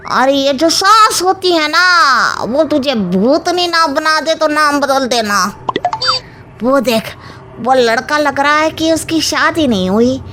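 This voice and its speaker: High-pitched sassy young witch bride, played by a woman. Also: fairly bright tone, slight background noise, full character voice. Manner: cheeky, back-talking, theatrical cackling. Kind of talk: Hindi comic story acting.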